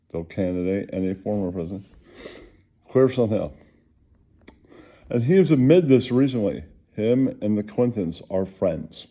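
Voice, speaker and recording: Male host, low-pitched and very dark in tone, halting delivery, casual rambling commentary.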